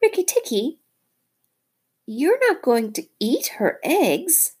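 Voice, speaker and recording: Woman doing a shrill gushing little songbird. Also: sing-song, slow, a little mellow, slightly rough, heavily acted. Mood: eager. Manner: shrill sing-song gushing. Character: excitable tiny male songbird, boastful.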